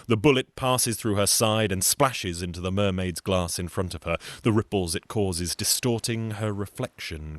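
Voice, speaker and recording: A man with a deep voice tells a folktale in a theatrical storytelling style. He slips into dry, wry asides, and the sound is close and dry, without room echo.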